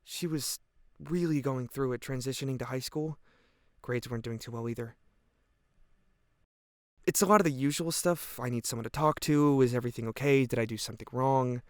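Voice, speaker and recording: A man's voice: mid-range voice, brisk, no echo, clean studio sound, natural voice. Earnest, guilt-ridden teenage boy played by a man. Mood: weary, guilty. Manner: hesitant, reflective, earnest. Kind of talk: audio drama monologue, police interview testimony.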